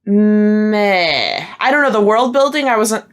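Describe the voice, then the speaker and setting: A woman's voice: medium pitch, expressive, slow pace, soft background music. Candid woman in casual podcast chat. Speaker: podcast panelist.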